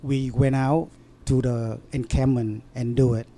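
A man in an interview, telling a story in halting, broken English with many fillers and restarts. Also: medium pitch, flat delivery, dark tone. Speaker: interview guest. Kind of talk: casual storytelling.

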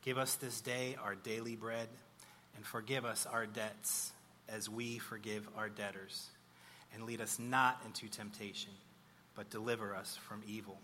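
A man's voice: fairly low pitch, level tone, a little slow, sharp tone. A man leading a congregation in spoken prayer, earnest and reverent, delivering it phrase by phrase. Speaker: church prayer leader. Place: church service. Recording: live church recording with background noise.

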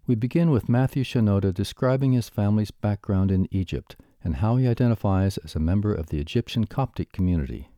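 A man's voice: on the low side, a little fast, few pauses, warm tone, dry recording, clean studio sound. A man reading a scripted introduction as the announcer of a recorded program.

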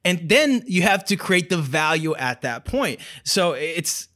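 A man hosting a conversational interview, speaking in a halting, affirming way. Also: a little high, big pitch swings, bright, forceful tone. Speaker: podcast host.

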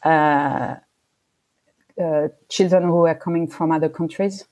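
A woman's voice: low voice, fairly even intonation, a little slow, a little mellow. A middle-aged woman from Switzerland, talking thoughtfully in an interview with frequent restarts. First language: French. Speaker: guest. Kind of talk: casual interview conversation.

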